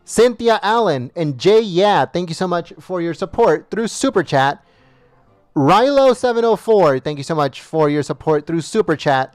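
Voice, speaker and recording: High, smooth-voiced man thanking livestream viewers. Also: no echo, studio quality. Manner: repetitive, reads names with small stumbles. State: losing his voice. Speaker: livestream host.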